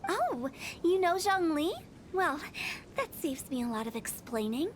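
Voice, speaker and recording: A woman's voice: sits high, sing-song, unhurried, slight background noise. A woman voicing an eccentric young funeral parlor director in a video game, cheeky, playful and theatrical. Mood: breezy, proud. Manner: playful, theatrical, breezy salesmanship. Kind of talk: English video game voice acting.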